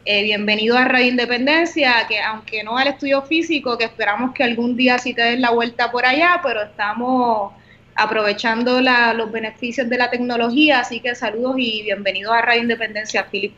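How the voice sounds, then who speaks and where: A woman's voice: a little high, fairly even intonation, brisk, sharp tone, muffled recording. A woman with a Puerto Rican accent hosting a casual interview podcast over a video call, friendly, with frequent "eh" fillers. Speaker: podcast host.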